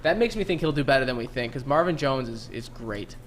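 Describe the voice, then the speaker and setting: A man guest in a casual sports podcast chat, telling stories in an animated way and acting out the voices himself. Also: mid-range voice, brisk. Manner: animated storyteller, acts out voices, laughs often.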